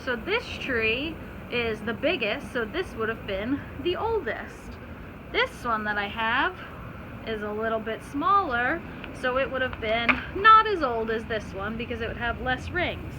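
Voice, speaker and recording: A woman's voice: fairly high pitch, sing-song, measured pace. A woman teacher reading aloud to children, stopping to explain simply and put questions to her listeners. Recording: clear background noise.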